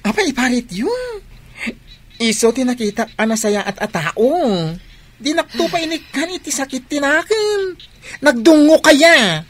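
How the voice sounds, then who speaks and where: A woman's voice: high voice, sing-song, a little slow. A woman playing an effeminate, lovestruck and defiant son in an Ilocano radio drama, with a whiny, emotional delivery. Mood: defensive.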